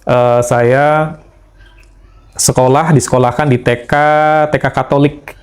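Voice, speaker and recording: Middle-aged man, Indonesian, interview guest, measured speech, pauses and fillers, average pitch. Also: steady tone, darkish tone. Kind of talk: conversational testimony.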